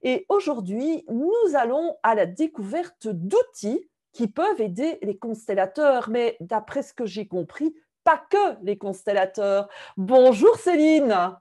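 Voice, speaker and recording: Female interview host, fairly high voice, effusive and appreciative, semi-formal delivery. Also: big pitch swings, measured pace, dark tone. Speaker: interview host and constellation trainer.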